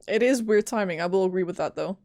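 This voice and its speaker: A woman's voice: mid-range voice, quick pace, darkish tone, no room sound. A woman game streamer giving casual reactions and commentary as she plays along with the dialogue. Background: game music.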